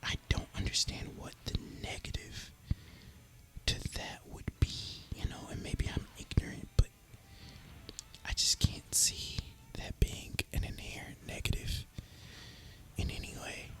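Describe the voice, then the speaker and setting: A person speaking in a breathy, unvoiced ASMR whisper, musing casually and informally as gaming commentary. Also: measured pace, fairly bright tone, low-quality recording. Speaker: host of an ASMR gaming channel.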